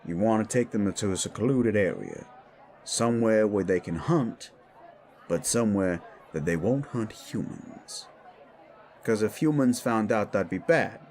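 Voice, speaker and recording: Guy doing a chill streetwise werewolf mentor, slow n gravelly, wry, explaining stuff, audio drama. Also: lowish voice, expressive, darkish tone, some chatter behind. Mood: measured, wry. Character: laid-back, streetwise male werewolf mentor explaining shifter lore.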